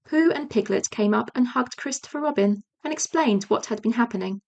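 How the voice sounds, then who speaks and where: Woman, audiobook reader, higher-pitched voice, children's storybook narration. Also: fairly quick, creaky, a little mellow.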